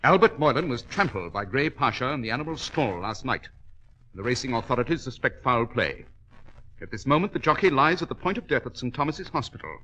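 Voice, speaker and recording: An actor playing a keen, commanding English gentleman detective in 1940s radio drama, with a British accent and clipped, precise delivery. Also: medium pitch, animated, brisk. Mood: brisk, grave. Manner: clipped, assured, precise, formal. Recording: dull vintage radio recording.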